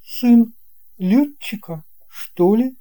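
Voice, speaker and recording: A man's voice: very high-pitched, expressive, extremely slow, mellow, voice pushed up, exaggerated voice. A man voicing a polite, slightly unsure young boy traveller in a storybook tale, earnest and hesitant. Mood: puzzled.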